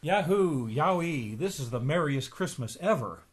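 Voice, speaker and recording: A man voicing an excitable, grumbling middle-aged cartoon duck uncle from a comic book, in an exclamatory way. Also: on the high side, unhurried, a little hiss or hum. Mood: excited. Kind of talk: comic book read aloud.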